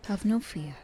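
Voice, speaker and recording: Woman playing a mellow, flirtatious ancient vampire lady. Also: mid-range voice, slight background noise. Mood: smooth, advising. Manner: breathy asides, lapses into whispering, formal genteel phrasing. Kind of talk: ASMR roleplay, intimate monologue to listener.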